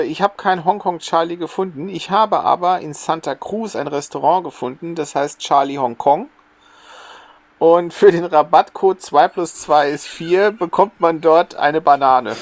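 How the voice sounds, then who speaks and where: Higher-pitched man in casual joking chat. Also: a little slow, no room sound. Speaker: podcast co-host.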